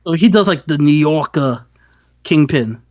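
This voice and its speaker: Casting: male podcast co-host, fairly high voice, casual review chat with acted-out quotes, dry slightly muffled recording. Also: expressive, normal speed, a little mellow, no room sound. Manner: acts out imagined quotes while talking.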